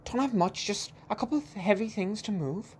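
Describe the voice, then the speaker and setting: A woman's voice: mid-range voice, expressive, normal speed, darkish tone, a little hiss or hum, natural voice. A woman playing a polite, mild young immigrant man in a radio play. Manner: courteous, mild.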